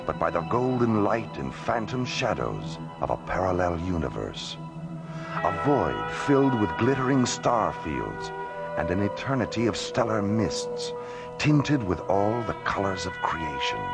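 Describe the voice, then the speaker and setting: A man narrating a radio drama in a measured, portentous announcer style. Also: on the low side, gravelly. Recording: loud music behind narration.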